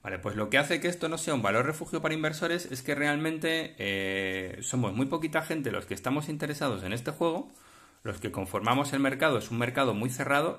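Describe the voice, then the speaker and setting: A man with a Spain Spanish accent chatting off the cuff as a collector explaining things, halting and rambling as he checks in with listeners. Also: medium pitch, a bit bright. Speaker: vlogger and card collector. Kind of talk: casual off-the-cuff explanatory chat. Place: indoors at a table, handling cards.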